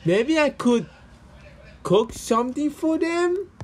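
A man voicing a small, excitable, affectionate young hedgehog in an amateur comic dub, musing aloud. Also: very high voice, takes it slowly, voice pushed up, full character voice.

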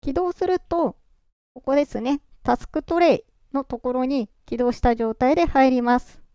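A presenter walking through software setup step by step in a calm, explaining way, their voice run through a pitch-raising voice changer. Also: moderate pace, clear, resonant tone, no echo, clean studio sound. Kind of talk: screen-recorded software walkthrough.

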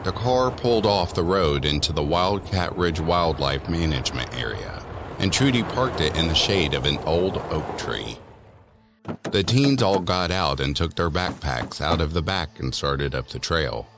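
A man's voice: low voice, lively intonation, unhurried, noisy background. A man narrating a scripted spooky story about teens, as a storyteller in an audio drama. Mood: measured, eerie. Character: male storyteller of a spooky teen tale. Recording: music under the voice.

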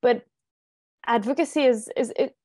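A young woman with a Quebec French accent speaks over a dry, close video call line, her tone mellow and soft-edged. She is friendly and a little hesitant, restarting phrases as she presents, and her voice sits a bit high. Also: moderate pace, dry recording. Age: young adult. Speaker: family physician guest speaker. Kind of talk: webinar presentation.

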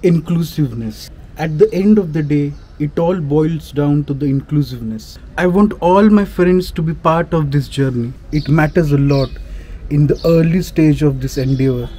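A man in his thirties with an Indian accent, speaking English as a second language, talking to a phone camera at arm's length in a measured, reflective way. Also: fairly high pitch, mellow. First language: not English. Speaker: vlogger. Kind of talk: daily vlog, talking to camera. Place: outdoors in a leafy park and street. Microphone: phone camera held at arm's length. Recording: outdoor phone audio with clear background noise.

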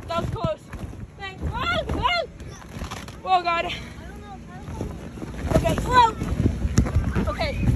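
A woman's voice: very high voice, very animated, extremely slow, pauses often, noisy background. A seventeen-year-old girl filming herself on an outdoor bounce house obstacle course, breathless and squealing as she climbs. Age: teens. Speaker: vlogger. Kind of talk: casual self-filmed commentary. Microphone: body-worn action camera.

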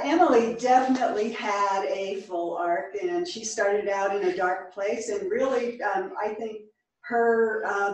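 A woman, a stage actress, talking informally about the part she played. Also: fairly high pitch. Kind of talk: informal post-performance reflection. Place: small theatre stage, distant camera. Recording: very echoey theatre, far from mic.

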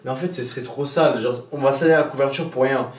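A young man vlogging casually at home, excitable and chatty, talking straight to his viewers and laughing often. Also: mid-range voice, fast talker. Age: young adult. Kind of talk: casual vlog to camera. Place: indoor bedroom at home. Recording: roomy echo, voice from off-mic.

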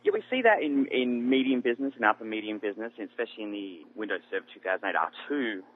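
A man with an Australian accent, a guest product manager, giving relaxed technical answers that trail off quietly between many ums. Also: lowish voice, fast. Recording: phone-line audio.

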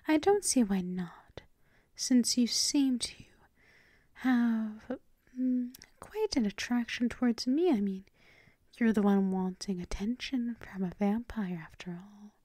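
Seductive female vampire mistress , played by a woman for ASMR roleplay: whispered, mellow, higher-pitched, possessive and purring. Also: animated, no room sound, studio quality. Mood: possessive, coaxing. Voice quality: breathy whisper. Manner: slow, purring, lingering with hums.

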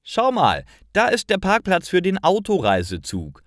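A man's voice: sits high, wildly swooping pitch, normal speed, no echo, clean studio sound, pitched above the performer's own voice. Guy reading a cheerful wife teasing her husband, voice goes up, very singsong, storybook style. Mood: bright.

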